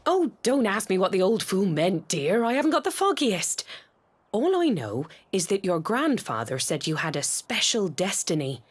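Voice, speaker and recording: A woman's voice: medium pitch, big pitch swings, normal speed, breathy edge. An actress playing a doting, middle-aged village mother in a video game, with a British accent and a chatty, exclamatory, wry manner. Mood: wry, resigned. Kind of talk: scripted video game voice acting. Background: music.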